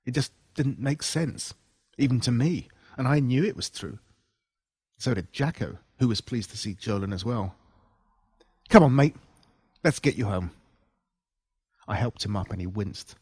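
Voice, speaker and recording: Audiobook narrator: Australian man, mid pitch, husky edge, dry close sound, suspenseful horror storytelling. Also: moderate pace, a little mellow, dry recording. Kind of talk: scripted first-person horror story narration.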